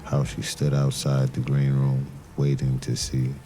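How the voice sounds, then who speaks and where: An actor playing a weary, brooding detective who narrates his case in an audio crime drama with somber, deliberate pauses. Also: very deep voice, monotone, dark tone, slight background noise. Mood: grave, brooding.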